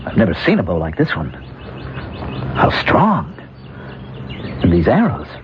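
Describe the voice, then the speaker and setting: An earnest, wondering hunter, lively delivery. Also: male voice, deep voice, unhurried, full character voice. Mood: proud, eager. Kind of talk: old-time radio drama acting. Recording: muffled, faintly noisy old radio recording.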